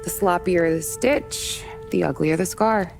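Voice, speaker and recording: A woman's voice: on the low side, unhurried, vocal fry, a little mellow. An actress playing a tough, sardonic young woman who talks bluntly and bosses people around. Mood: wry. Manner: blunt, wry, bossy. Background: game score music.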